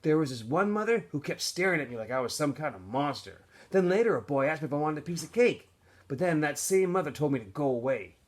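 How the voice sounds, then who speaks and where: A man voicing a wistful, guarded homeless boy in a read-aloud novel, speaking plainly and earnestly with some halting moments. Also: on the high side, animated, voice pushed up. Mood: sad, recollecting. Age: child.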